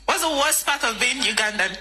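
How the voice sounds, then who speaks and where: Woman doing street interviews, bouncy lively voice, very bright, upbeat. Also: a little high, fairly quick.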